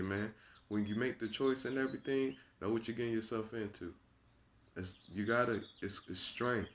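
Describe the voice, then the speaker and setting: A young man in his twenties with a low voice delivers an unscripted monologue to his viewers. He is blunt and profane, preaching his point and circling back on the same phrases for emphasis. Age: 20s. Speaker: vlogger.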